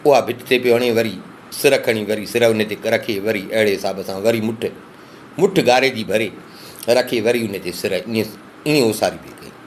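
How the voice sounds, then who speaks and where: A man's voice: mid-range voice, slight background noise. A man narrating a long folk tale in first person, dramatic and emotive. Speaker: storyteller. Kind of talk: oral storytelling.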